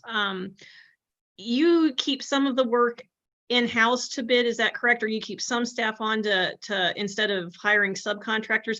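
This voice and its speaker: A woman's voice: on the high side, pushed and bright. A woman on a school board, politely and directly asking a contractor questions. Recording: slightly dull lo-fi audio.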